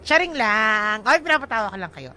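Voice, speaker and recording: A woman's voice: a little high, expressive, brisk, sharp tone, a little hiss or hum. A woman with a Filipino accent co-hosting a radio show, chatting in a playful, teasing way. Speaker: radio DJ co-host. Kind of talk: casual radio banter.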